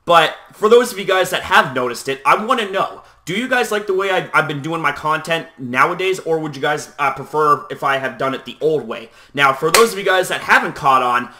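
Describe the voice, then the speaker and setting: Chatty young man, bright, toned-down voice. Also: on the high side. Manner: loose and chatty, heavy on "you know"; talking at a deliberately toned-down volume. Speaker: YouTube host. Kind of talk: casual talk to camera.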